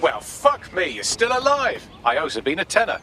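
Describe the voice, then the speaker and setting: A man's voice: high voice, sing-song, moderate pace, creaky, exaggerated voice. A man voicing a sarcastic, cheeky British AI assistant in a video game, wordy and dryly mocking. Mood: wry, teasing. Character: sarcastic, cheeky male artificial intelligence assistant.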